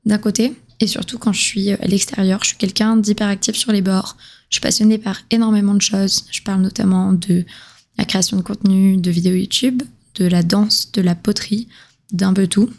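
A woman in her twenties, from southern France, talks alone into the mic as host of her own podcast. Her voice sits at a middling pitch and stays fairly flat and level. She speaks in a casual, confiding way with plenty of slang. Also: brisk. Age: 20s. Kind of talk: solo podcast monologue.

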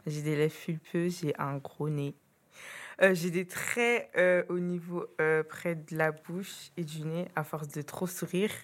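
A teenage girl, a student, speaking casually and haltingly in a school podcast. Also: low-pitched, steady tone. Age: teens.

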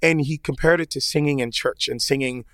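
Relaxed man with a dry close sound. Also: mid-range voice, brisk, dry recording. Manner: relaxed, rambling, many "you know" fillers. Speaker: opera tenor, guest. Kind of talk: casual interview conversation.

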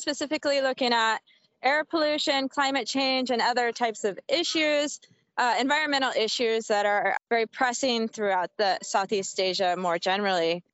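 Woman prof on a podcast, measured, articulate, dry muffled audio. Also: high voice, fairly bright tone, no echo. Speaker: professor, podcast co-host. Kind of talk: casual self-introduction.

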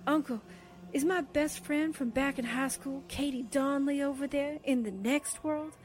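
A woman's voice: sits high, moderate pace, lightly acted. An actress playing a brash, wisecracking young newspaperwoman with slangy, chatty delivery in a radio drama style. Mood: earnest rambling. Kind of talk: 1940s radio drama acting.

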